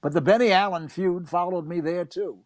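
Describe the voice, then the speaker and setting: A male narrator delivers scripted reminiscence. His voice is somewhat high, and the sound is very dry with no room around it. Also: normal speed, no room sound. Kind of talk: scripted first-person narration.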